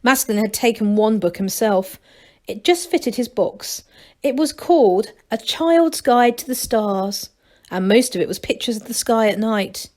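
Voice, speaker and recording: A woman's voice: a little high, animated, measured pace, a little mellow. A woman storyteller reading a children's book aloud.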